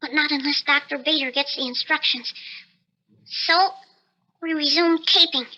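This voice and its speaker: A man's voice: very high voice, fairly even intonation, unhurried, pushed and bright, muffled recording, heavily acted. An actor playing a bitter, desperate shrunken research scientist in his thirties, theatrical and self-pitying in a 1950s radio drama, his voice artificially raised. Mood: determined. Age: 30s.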